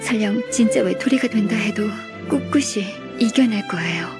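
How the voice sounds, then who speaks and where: A woman playing a gentle, earnest, modest young secretary in a video game, speaking softly and politely. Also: mid-range voice, music in the background, close to an everyday voice. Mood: resolute. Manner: soft, polite, measured.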